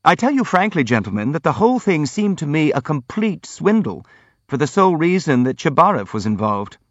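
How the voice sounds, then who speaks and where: A man's voice: a little high, very animated, no room sound, highs cut off, pitched above the performer's own voice. Man playing an earnest, raised-voice prince. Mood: apologetic. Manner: earnest, hurried, polite pleading. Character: earnest, apologetic, frank young prince, anxious to placate. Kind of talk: audiobook character acting.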